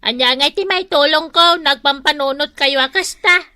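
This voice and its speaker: A woman's voice: high voice, moderate pace, sharp tone, no room sound. A woman with an Ilocano Filipino accent playing a chatty, helpful snack vendor in a comic radio drama. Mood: friendly. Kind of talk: comedic radio drama acting.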